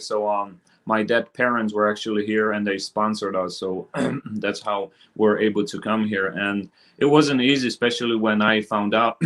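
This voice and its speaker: Lower-voiced man. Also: fairly bright tone. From Poland, later Chicago from age twelve. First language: Polish. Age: middle-aged. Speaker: interview guest. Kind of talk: casual personal recollection in conversation.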